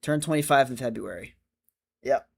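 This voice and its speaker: A man's voice: medium pitch, moderate pace, gravelly, husky. A man of twenty-five chatting casually on a livestream, answering viewer comments in a rambling, self-correcting way. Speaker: streamer.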